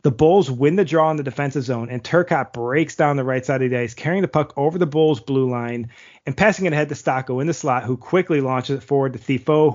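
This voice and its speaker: A man's voice: medium pitch, darkish tone. Male podcast co-host and goalie, mid voice, commentary-style reads, lo-fi audio. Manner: reads plays like sports commentary, then chats loosely. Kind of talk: reading play-by-play highlight rundowns and casual chat.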